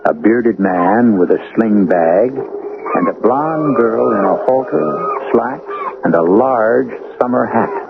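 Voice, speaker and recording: A man hosting a radio mystery series, narrating a script in a measured, theatrical storytelling manner. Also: mid-range voice, lively intonation, vocal fry, background noise. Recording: tinny old radio broadcast with music.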